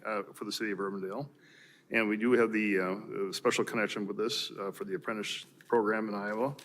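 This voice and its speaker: A man's voice: fairly low pitch, pushed and bright, slight background noise. A man with an American accent, a mayor formally reading proclamations at a council meeting. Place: city council chamber.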